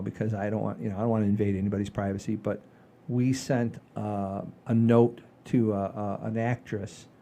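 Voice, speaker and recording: Male comedy show host, mature, dark soft-edged voice in a middle register, chatty and meandering. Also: medium pitch. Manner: rambling, animated storyteller with frequent swearing. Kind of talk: casual chat, storytelling.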